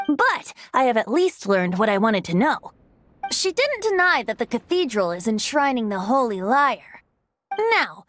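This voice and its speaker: A woman's voice: fairly high pitch, fairly bright tone, a little hiss or hum, full character voice. A woman playing a cheeky, theatrical boyish young bard who is secretly a god, in a video game. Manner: theatrical, playfully grandiose. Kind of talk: video game character acting.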